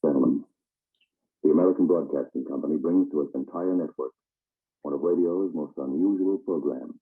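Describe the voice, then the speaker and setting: A man's voice: a bass voice, brisk. A man announcing a network program in formal 1940s radio style. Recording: tinny muffled old radio broadcast.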